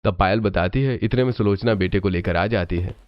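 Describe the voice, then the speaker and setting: A man's voice: fairly low pitch, brisk, lo-fi sound. A man reading scripted narration for a cartoon family story.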